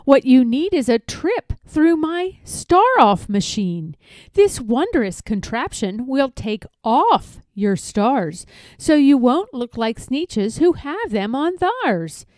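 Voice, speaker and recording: A woman voicing a sly, peppy male huckster with smooth, showy sales patter in a storybook reading. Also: high voice, sing-song, slow pace, a little mellow, no echo, heavily acted. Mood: sly, coaxing.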